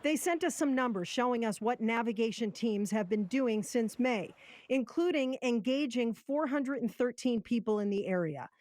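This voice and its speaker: An American woman reports for television news in a fairly high-pitched voice. She reads scripted narration in the measured form of a news package. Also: darkish tone, no room sound.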